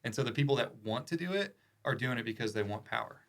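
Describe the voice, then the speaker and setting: A man's voice: mid-range voice, expressive, fast, fairly bright tone. A man talking casually and with opinion on a podcast, informal and emphatic, repeating phrases for stress. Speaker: podcast guest, attorney.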